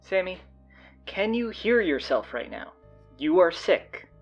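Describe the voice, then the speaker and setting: An actress playing a bossy, sardonic teenage high school girl with a blunt, exasperated manner in an audio drama. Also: very animated, takes it slowly, highs cut off, faint music behind, heavily acted.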